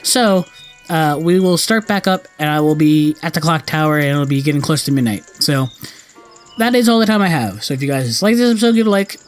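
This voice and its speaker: A man hosts a casual let's-play with game music playing behind him. His pitch sits a little high for a man. He rambles loosely and slangily, often correcting himself mid-sentence. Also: lively intonation, fairly quick. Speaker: gaming video host. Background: video game music.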